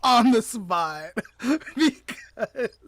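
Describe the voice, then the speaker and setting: A woman with a slightly high voice chats casually as a podcast co-host. She is giggly and informal, joking as she goes, and the sound is dry with hardly any room in it. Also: lively intonation, very slow, no room sound. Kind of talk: casual chat.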